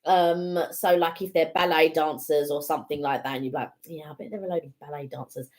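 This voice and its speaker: A woman's voice: deep voice, fairly even intonation, fairly quick, darkish tone. A woman co-hosting a live stream from home, talking casually and at length with many fillers like "you know" and "like". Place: home room with a heater.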